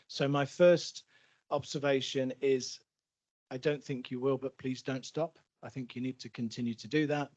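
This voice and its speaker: A man's voice: mid-range voice, darkish tone, highs cut off. A man, an NHS executive, answering a question at a public meeting in an earnest, emphatic way with frequent restarts.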